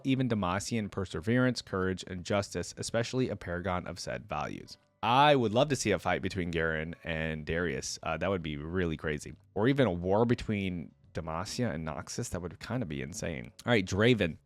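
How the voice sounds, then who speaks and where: A man's voice: lowish voice, dry recording. A man hosting a reaction video, reading game lore aloud and dropping in informal, casual asides. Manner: informal asides between read passages.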